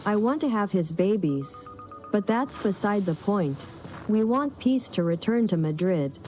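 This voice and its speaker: A woman's voice: mid-range voice, expressive, a little slow, mellow, slight background noise, close to an everyday voice. A woman playing a brash, boastful, slangy caller in a theatrical comedy sketch. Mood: brash, mock grand.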